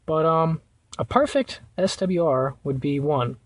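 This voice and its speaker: A man giving a casual hands-on how-to in an informal, halting way, thinking aloud as he goes. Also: fairly high pitch, expressive, dry recording. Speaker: vlogger showing a car radio install.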